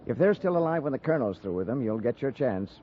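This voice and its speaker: An actor playing a confident, hawkish army lieutenant with an American accent in a 1950s radio drama. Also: male voice, mid-range voice, big pitch swings, quick pace, lightly acted. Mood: assured, eager. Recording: dull old radio, faint background noise.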